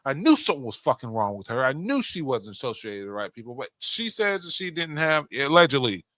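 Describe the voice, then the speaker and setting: A man's voice: medium pitch, big pitch swings, brisk, a bit bright, no echo. A man co-hosting a podcast, chatting casually and bluntly, with opinions and plenty of swearing. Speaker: podcast co-host. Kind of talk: casual chat about movies and games.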